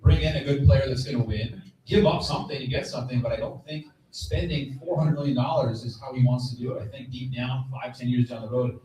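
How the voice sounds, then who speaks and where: A man's voice: medium pitch, fairly even intonation, fairly quick, hardly any pauses, mellow. A man giving conversational sports analysis as a panelist on a live stage. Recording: echoey, distant mic, audible crowd.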